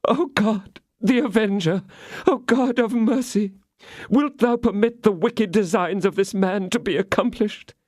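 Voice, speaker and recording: A man's voice: on the high side, very animated, moderate pace, a little mellow, no echo, clean studio sound, voice pushed up. A man voicing a scheming woman prisoner who pleads and prays in feigned, sobbing despair. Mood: praying.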